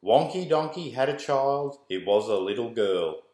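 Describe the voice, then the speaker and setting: A man's voice: mid-range voice, expressive, normal speed, a little mellow. A man in his forties reads a rhyming picture book aloud to children in a sing-song, rhythmic way. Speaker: school staff member reading aloud to children. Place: small indoor room with bare walls. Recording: roomy indoor echo.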